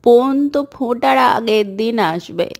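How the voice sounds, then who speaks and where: A woman voicing a caring village mother and a lonely girl in a cartoon, speaking slowly and softly. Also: on the high side, close to an everyday voice. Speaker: voice actor. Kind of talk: cartoon voice acting.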